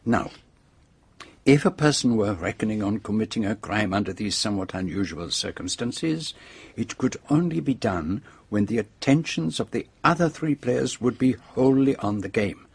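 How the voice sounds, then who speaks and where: A shrewd foreign sleuth in a radio mystery, speaking with a French-speaking accent in a close, dry sound. His voice is slightly husky, and he sets out each point precisely, with theatrical self-satisfaction. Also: fairly low pitch, expressive, normal speed, no echo, heavily acted. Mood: triumphant explaining. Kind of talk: radio drama acting.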